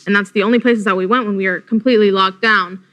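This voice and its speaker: A woman's voice: medium pitch, steady tone, fast talker, fairly bright tone. A teenage girl on a panel speaking earnestly and candidly. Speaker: panel guest. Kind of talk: casual chat.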